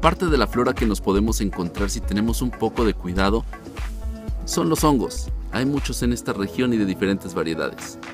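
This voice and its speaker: A man in his forties hosting a travel show, narrating in a slow, measured way. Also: fairly low pitch, animated, over music. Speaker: host.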